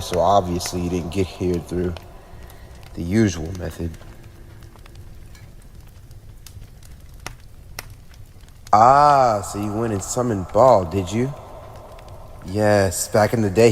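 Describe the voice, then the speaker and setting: A man's voice: lowish voice, natural voice. A man voicing a haughty, teasing teenage demon lord with a playfully cruel streak, in an ASMR roleplay addressed to the listener. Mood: haughty, curious. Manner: haughty, teasing, theatrical, with drawn-out pauses. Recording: long reverb, audible background noise.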